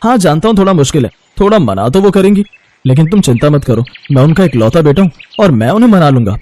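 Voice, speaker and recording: Earnest young rich suitor, played by a man, devoted and reassuring, cartoon romance, fairly high, mellow voice. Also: sing-song, quick pace.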